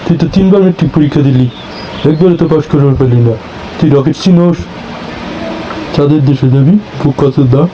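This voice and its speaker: A man's voice: a little high, brisk, pauses often, warm tone, a little hiss or hum, natural voice, rough recording. A man playing a scornful middle-aged village father in a comic cartoon, scolding and mocking with a Bangladeshi accent. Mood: angry scolding.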